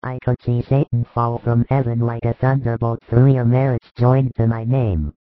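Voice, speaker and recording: A man voicing a calm, authoritative male teacher who speaks in a solemn, measured, firm way. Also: mid-range voice, no echo, faint music behind, lightly acted. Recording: muffled dry recording.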